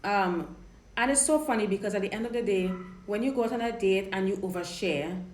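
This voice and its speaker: A woman's voice: mid-range voice, fairly quick. A woman from Trinidad with a Trinidadian accent, a dating coach chatting frankly while answering viewer questions on a live stream. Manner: chatty, frank, repeats and restarts words. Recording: roomy live-stream audio with faint noise.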